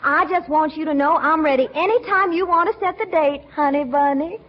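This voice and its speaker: Woman acting a very high-voiced, coy Southern belle. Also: a little fast, lightly acted. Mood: gushing. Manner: coy, gushing, sugary endearments. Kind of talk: 1940s American radio situation comedy acting. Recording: dull vintage radio recording.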